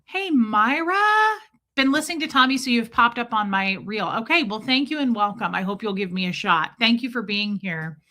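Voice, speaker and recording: A woman's voice: lively intonation, moderate pace, pushed and bright. A woman with an American Midwestern accent chatting candidly and casually with viewers as a livestream host. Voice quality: voice deeper than usual from lack of sleep.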